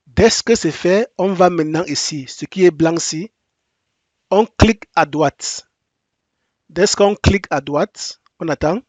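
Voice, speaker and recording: Brief: male presenter for a software tutorial, native English speaker working in French, fairly high voice, dry close sound, repeats each step and restarts his sentences. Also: no room sound. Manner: step-by-step, repetitive instructions; halting non-native French with frequent restarts. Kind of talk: screen-recorded software tutorial.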